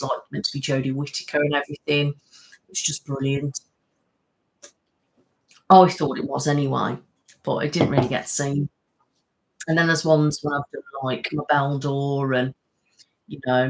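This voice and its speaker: A woman's voice: rumbling low, flat delivery, moderate pace, long pauses, poor audio. Woman, thirties, rambling casual chat, close mic. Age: 30s. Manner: chatty, rambling, reads and answers viewer comments. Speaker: ASMR channel host. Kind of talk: casual live-stream chat.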